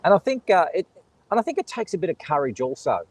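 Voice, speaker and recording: A man's voice: a little high, sing-song, fast, no room sound. A man with an Australian accent hosting a casual conversation, joking, laughing often and reading out viewer comments. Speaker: host. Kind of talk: casual chat.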